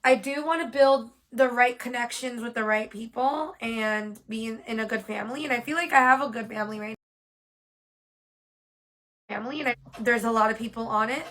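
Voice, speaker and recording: A woman livestreaming from her room at home, talking to viewers in a loose, rambling way with plenty of fillers. Her voice sits high and sounds bright and crisp. She comes across as casual and chatty, the way someone sounds hosting her own stream. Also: moderate pace. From New York. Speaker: livestream host. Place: home room with yellow lighting.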